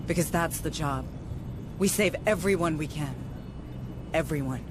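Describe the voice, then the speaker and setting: A woman's voice: low voice, measured pace, background noise. A woman playing a resolute, duty-bound commander with a firm, serious manner in a sci-fi game cutscene. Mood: solemn resolve. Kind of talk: video game cutscene acting.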